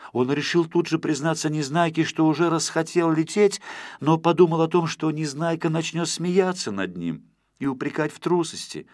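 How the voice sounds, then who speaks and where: A man narrating a children's story from a script as an expressive, dramatic storyteller. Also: on the high side, dry recording, clean studio sound.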